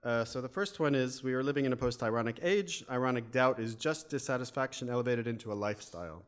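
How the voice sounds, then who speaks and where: A man's voice: mid-range voice, fast talker, smooth. An American man with a wry, methodical manner, giving an academic talk with frequent fillers. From United States. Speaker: academic speaker. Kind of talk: lecture presentation.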